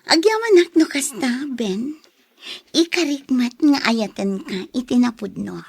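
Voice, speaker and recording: A woman's voice: sits high, expressive, unhurried, gravelly, a little mellow, slightly rough, no room sound, heavily acted. A woman with an Ilocano accent playing a coy, playful young sweetheart in a melodramatic radio drama. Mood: tender.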